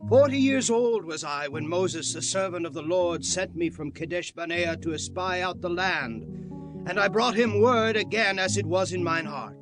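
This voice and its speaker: A proud old warrior of ancient Israel played by a man speaks with earnest, devout conviction. His voice is high for a man and his delivery declamatory, in the manner of a dramatised scripture audiobook. Instrumental music is clearly heard beneath him. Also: moderate pace, natural voice. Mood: earnest, reverent. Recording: music under the voice. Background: prominent instrumental music.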